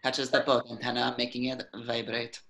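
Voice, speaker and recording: A man's voice: medium pitch, monotone, normal speed, vocal fry, a bit bright, rough recording. A man reading out stage directions as the narrator of a cartoon script table reading.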